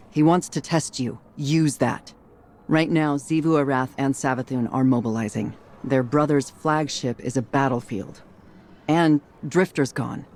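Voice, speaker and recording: Grave, mellow-voiced military commander. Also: male voice, medium pitch. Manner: terse, serious, measured urgency. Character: grave, resolute military commander briefing a trusted soldier. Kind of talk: dramatic video game acting.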